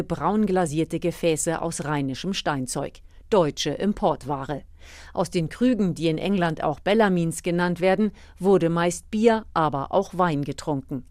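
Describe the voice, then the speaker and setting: A woman's voice: lowish voice, a little slow, darkish tone, no echo, studio quality. A woman working as a radio reporter, narrating a scripted feature.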